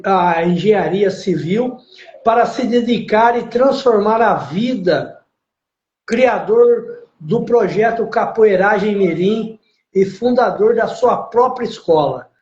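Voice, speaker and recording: A man's voice: sits high, a little mellow. A man hosting a podcast, introducing guests formally and with emphasis. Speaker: podcast host. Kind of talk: live podcast interview. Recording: roomy, dull lo-fi sound.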